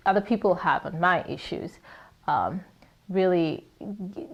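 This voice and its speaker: A young woman from Kenya with a lowish voice answers interview questions at a thoughtful pace. She restarts her sentences now and then and speaks with care. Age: young adult. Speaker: college student interviewee.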